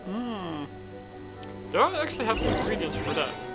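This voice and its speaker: A woman's voice: mid-range voice, very animated, moderate pace. A woman giving casual commentary while she plays through a video game. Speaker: gamer commentating on own playthrough. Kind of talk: casual let's play commentary. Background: loud game music.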